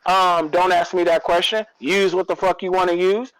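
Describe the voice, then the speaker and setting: A man's voice: high voice, flat delivery, moderate pace, fairly bright tone, clean tone, no echo, low-quality recording. A man co-hosting a podcast in casual, opinionated talk, repeating phrases for emphasis. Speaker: podcast co-host.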